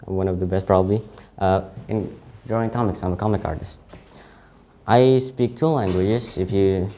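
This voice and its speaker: A man's voice: low voice, steady tone, dark tone. A young man in his late teens, a native Indonesian speaker with an Indonesian accent, giving an informal presentation of his CV and often stopping to correct himself. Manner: halting, frequent self-corrections.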